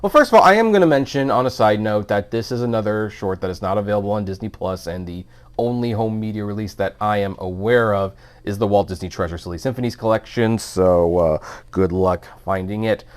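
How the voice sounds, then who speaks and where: A man's voice: on the low side, fairly quick, clean tone. A man hosting a film review, talking informally and giving his opinions in a conversational commentary style.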